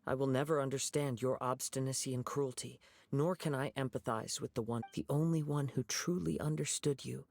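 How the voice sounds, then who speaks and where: Calm, formal young samurai played by a man. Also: medium pitch, gravelly, close to an everyday voice. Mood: calm, stern. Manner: measured, formal, reflective speech. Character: calm, principled young wandering samurai swordsman. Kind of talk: video game voice acting.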